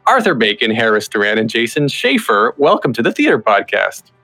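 A man's voice: mid-range voice, big pitch swings, a little fast, sharp tone, faint music behind. A man hosting an interview podcast, introducing and questioning in a conversational style. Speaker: podcast host interviewing theater creatives.

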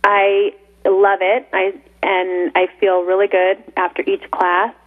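A woman's voice: medium pitch, little pitch movement, takes it slowly. A woman co-hosting a radio talk show, chatty and often laughing as she trails through her sentences. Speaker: radio co-host. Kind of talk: casual studio chat. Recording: narrow phone-like radio sound.

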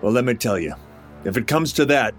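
A man playing a big, menacing, foul-mouthed enforcer in an audio drama, with a deliberate, taunting and threatening delivery. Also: mid-range voice, expressive, soft background music, lightly acted. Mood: cold menace.

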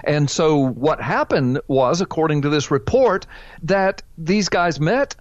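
A man's voice: fairly high pitch, expressive, measured pace, dry recording, dull audio. A man guest on a radio interview, talking earnestly and animatedly with folksy asides and many repeated words. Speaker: pastor and author guest.

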